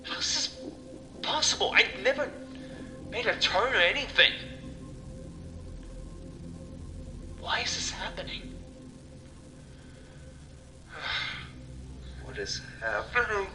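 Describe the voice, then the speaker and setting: A man playing a confused, frightened amnesiac in a horror story, talking to himself in halting questions. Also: high-pitched, sing-song, voice pushed up, exaggerated voice. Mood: panicked disbelief. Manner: halting, questioning, sighing self-talk. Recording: echoey sound with music underneath.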